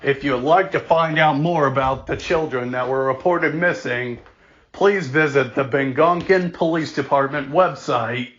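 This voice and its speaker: A man playing a formal, grave emergency alert announcer, reading scripted warnings in a deliberate, measured way. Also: mid-range voice, a bit bright, lightly acted. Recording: dull lo-fi audio.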